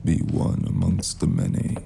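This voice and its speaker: A man playing a brooding, mysterious noir storyteller, narrating in ominous, measured, terse phrases. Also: background noise, lightly acted. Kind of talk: slow noir scripted narration.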